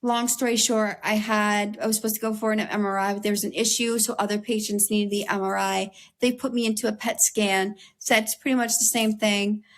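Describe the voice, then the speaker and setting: A young woman from the United States talks with an interviewer in an earnest, open way. Her voice sits at an ordinary middle pitch with a slight raspy edge. She leans on "like" and "um" as she works through her thoughts. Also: flat delivery, a little fast, hardly any pauses, poor audio. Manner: earnest, heavy use of "like" and "um". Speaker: interview guest. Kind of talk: candid conversational interview.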